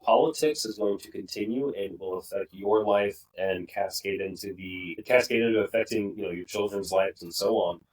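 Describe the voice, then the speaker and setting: A man's voice: on the low side, normal speed, few pauses. Earnest young man, heard from a distance. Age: young adult. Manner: earnest, opinionated, rambling with restarts. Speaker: podcast co-host. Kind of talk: casual political discussion.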